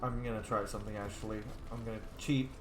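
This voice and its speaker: A man's voice: fairly low pitch, steady tone, quick pace, fairly bright tone, soft background music. A young man playing a video game and commenting casually in a chatty, opinionated way, often talking over the game. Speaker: player and commentator. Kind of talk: casual let's play commentary. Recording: echoey room with faint noise.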